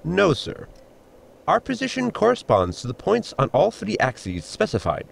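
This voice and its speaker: A man acting as a dutiful robot servant from a sci-fi comedy audio drama. The voice is heavily gravelly and a little husky, fairly high, with deadpan, precise formality that still swings widely in pitch. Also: unhurried, heavily acted. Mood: dry, formal. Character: dry, dutiful male ship robot servant.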